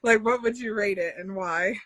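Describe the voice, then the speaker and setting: A woman's voice: medium pitch, normal speed, fairly bright tone. A woman hosting a live video chat, upbeat, with frequent fillers and laughs. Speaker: host. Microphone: video call.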